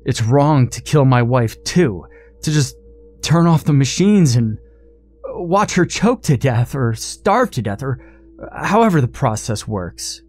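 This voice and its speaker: A man voicing a desperate, grieving husband in a story, shouting defiantly and pleading. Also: mid-range voice, very animated, unhurried, faint music behind, voice pushed up. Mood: angry.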